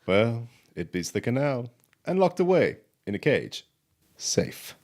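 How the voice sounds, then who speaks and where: An actor playing a roguish, cheeky elf thief in an audio drama, sardonic and theatrical in manner. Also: male voice, mid-range voice, big pitch swings, unhurried, darkish tone. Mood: resigned.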